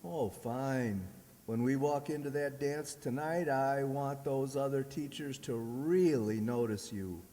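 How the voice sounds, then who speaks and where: Man acting a shy earnest teacher, slow, mellow, hesitant, radio comedy, echoey hall. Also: mid-range voice, natural voice. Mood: bashful, pleased. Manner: hesitant, stiff. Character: bashful, earnest male schoolteacher and suitor. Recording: echoey hall, long reverb.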